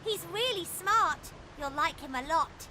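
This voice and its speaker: A woman's voice: very high voice, animated, a little slow, noisy background. A woman playing a small, friendly, trusting child in a fantasy game, with a guileless, childlike manner. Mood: friendly, coaxing. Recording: loud music under the voice.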